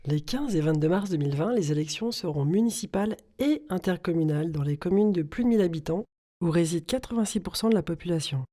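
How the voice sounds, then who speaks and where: A woman narrates a scripted explainer. Her voice sits a little low and has a dark, relaxed tone. She lays out information in a clear, instructive way. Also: fast talker.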